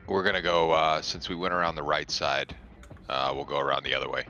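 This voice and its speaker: A man's voice: very deep voice, flat delivery, fairly quick, bright, forceful tone, highs cut off. A man taking part in a tabletop roleplaying game, chatting casually as a player.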